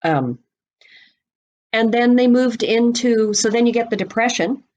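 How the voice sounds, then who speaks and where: A woman's voice: on the high side, a little slow, a little mellow, dull audio. Woman explaining conversationally, expansive and informed. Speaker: guest expert on a book podcast. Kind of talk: conversational explanation of literary history.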